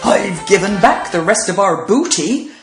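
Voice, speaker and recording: A hearty, burly pirate turned baker played by a woman blusters through a storybook tale. He speaks slowly and emphatically, with swooping pitch, in an echoey room. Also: expressive, soft background music, natural voice. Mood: blustery. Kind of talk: children's picture book character voice.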